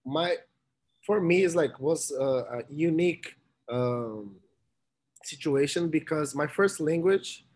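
A young man from Brazil with a Brazilian Portuguese accent, speaking halting English as an interview guest. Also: a little high, animated, darkish tone. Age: young adult. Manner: halting, searching for words. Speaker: interviewee, college swimmer. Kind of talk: casual interview talk.

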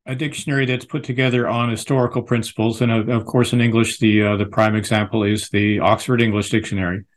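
An American man, a lexicographer and author, giving thoughtful, measured interview answers with frequent restarts. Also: lowish voice, little pitch movement. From United States.

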